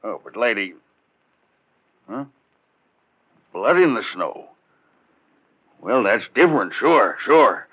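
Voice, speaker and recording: An actor playing a gruff, slangy police desk captain in 1940s radio style. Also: male voice, fairly low pitch, big pitch swings, takes it slowly, long pauses, exaggerated voice. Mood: groggy, alerted. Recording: very muffled 1940s radio recording.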